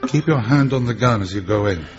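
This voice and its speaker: An actor playing a jaunty, courteous French secret service officer with a French accent in a radio drama. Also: male voice, on the low side, animated, fairly quick, dull audio, soft background music, lightly acted. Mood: brisk confidence.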